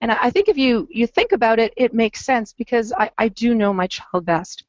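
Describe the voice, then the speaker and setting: A hoarse, croaky woman presenting as a family advisor, earnest and measured with some fillers. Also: a little high, big pitch swings, dry recording. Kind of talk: webinar presentation. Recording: dull lo-fi webinar audio.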